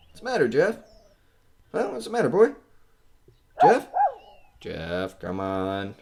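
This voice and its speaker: A man's voice: mid-range voice, wildly swooping pitch, takes it slowly, vocal fry, noisy background, heavily acted. A man acting in an audio horror drama as a skeptical, wisecracking radio man narrating a ghost hunt in a casual, hesitant way. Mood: coaxing, exasperated. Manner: casual, flippant, hesitant filler-strewn delivery. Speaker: host of a ghost hunt recording.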